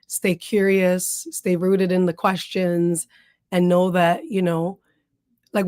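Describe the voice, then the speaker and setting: A woman hosting a podcast in a relaxed, casual way, filling her talk with "um" and "like". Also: medium pitch, dry recording, studio quality. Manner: relaxed, many fillers like "um" and "like". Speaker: podcast host.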